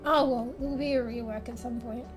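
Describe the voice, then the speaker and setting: A young woman streamer, a little raspy today, chatting casually at home into a desk mic, rambling, laughing a lot and swearing now and then. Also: a little high, steady tone, fairly quick. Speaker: game streamer. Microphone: desk microphone with fan blowing on it. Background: soft background music.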